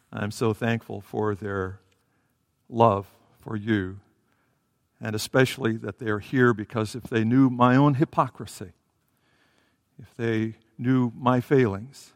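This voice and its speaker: A man's voice: on the low side, vocal fry. Man preaching in a reverberant church. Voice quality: self-described loud voice. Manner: conversational and hesitant, with fillers and restarts. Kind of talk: sermon with Bible reading and prayer. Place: church sanctuary. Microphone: headset microphone. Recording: reverberant hall.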